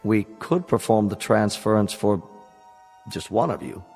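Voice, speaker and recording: A man's voice: on the low side, animated, measured pace, gravelly, a little mellow. An actor playing a polite, formal salesman in a television drama, with polished sales patter. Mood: regretful, firm. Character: polite, smooth salesman of a futuristic procedure. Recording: long reverberant hall, music behind.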